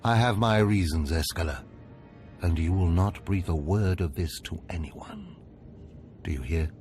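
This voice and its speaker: An actor playing a stern, scheming older Sith overseer in a video game, speaking in a commanding, measured, formal way. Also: male voice, sits low, a little mellow, faint music behind. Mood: stern, controlled.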